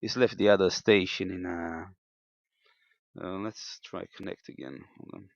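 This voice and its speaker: A man giving an unscripted hands-on equipment demonstration as a hobbyist, thinking aloud in a hesitant, halting way. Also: low voice, fairly even intonation, vocal fry. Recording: dull, lo-fi, echoey room sound.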